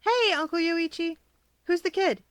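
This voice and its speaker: A woman's voice: extremely high pitch, measured pace, no room sound, voice pushed up. A woman voicing a cheerful, easygoing schoolboy with an upbeat, casual manner in a story reading. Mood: bright, eager. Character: cheerful, easygoing schoolboy humoring his friend. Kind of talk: audiobook character voice.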